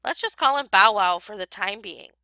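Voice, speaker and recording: A woman's voice: mid-range voice, expressive, normal speed, gravelly, sharp tone, no room sound. A woman reading as a flustered absent-minded professor. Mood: mild. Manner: exclaiming, flustered.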